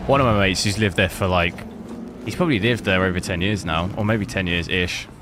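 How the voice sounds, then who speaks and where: A man's voice: deep voice, brisk, noisy background. A young man from the South Coast of England with a slightly Cockney southern English accent, chatting casually while streaming a game and answering viewers. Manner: rambling, chatty, answering chat. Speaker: gaming streamer. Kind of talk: casual live commentary while playing.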